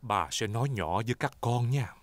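A man's voice: mid-range voice, animated, normal speed, dry recording, natural voice. A man voicing a jolly, boastful father pig with a hearty manner in a dubbed children's cartoon. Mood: cheerful. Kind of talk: dubbed preschool cartoon acting.

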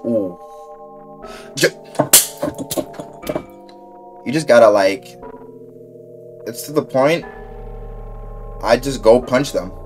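A man's voice: medium pitch, drawn-out words, long pauses, a little mellow, faint music behind. A man giving casual, slangy reaction commentary, informal and incredulous, recorded indoors in a bedroom. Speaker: reaction video creator.

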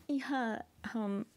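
A young woman playing a shy, flustered woman reuniting with an old flame in an intimate, hesitant, giggly ASMR roleplay. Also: fairly high pitch. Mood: excited, flustered. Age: young adult. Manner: hesitant, giggly, often breathy asides. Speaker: girlfriend roleplay audio performer.

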